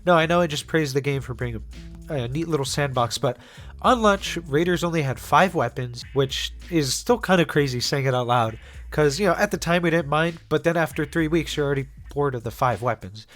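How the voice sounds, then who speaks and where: A man's voice: fairly high pitch, fairly quick, a bit bright, faint music behind. Congested young man narrating a game essay. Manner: casual, many fillers and restarts. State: congested after being sick. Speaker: gaming YouTuber. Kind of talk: scripted video essay narration.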